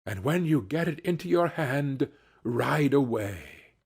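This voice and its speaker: A man voicing a wise old fox who gives earnest, deliberate counsel in a storybook reading. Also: medium pitch, expressive, gravelly, darkish tone, breathy edge, full character voice. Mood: instructing. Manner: instructing, deliberate.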